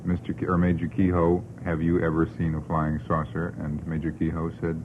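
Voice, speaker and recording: A man's voice: completely flat delivery, fairly quick. A man talking casually as a panel guest in a radio discussion. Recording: narrow-band old radio, faint noise, rumble.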